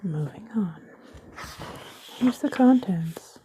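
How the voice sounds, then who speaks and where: A woman whispering throughout an ASMR video indoors at a table, making sarcastic, self-mocking jokes in a soft unvoiced whisper, recorded close up on a camera mic. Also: mid-range voice, lively intonation, slow, slight background noise.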